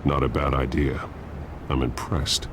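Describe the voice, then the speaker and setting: Brief: stern masked vigilante for a game, lowest register, terse. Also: male voice, flat delivery, a little slow. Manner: terse, clipped commands. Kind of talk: video game voice acting. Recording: game audio with loud city noise.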